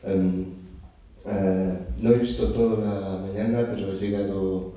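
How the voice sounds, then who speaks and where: A man's voice: low voice, fairly even intonation, a little slow, dark tone. A man in his thirties speaking Spanish as a second language, searching for words with many fillers at an open forum, holding a handheld mic. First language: not Spanish. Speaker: audience member. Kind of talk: hesitant open-forum remarks. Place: dark theatre auditorium, seated in audience. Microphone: handheld microphone. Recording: echoey hall, voice from far off.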